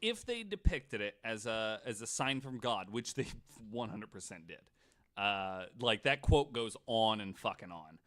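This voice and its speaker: A man's voice: fairly low pitch, sing-song, measured pace, a bit bright. A man hosting a casual podcast, telling a story with plenty of swearing and "like" thrown in. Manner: swears freely; peppers talk with "like" and "right". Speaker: podcast host.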